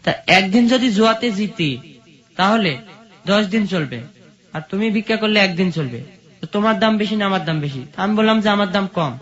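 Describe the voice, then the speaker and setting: High-voiced Bangladeshi man telling his story. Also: brisk, fairly bright tone. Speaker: interview guest telling life story. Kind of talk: personal life-story narration. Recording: dull lo-fi sound.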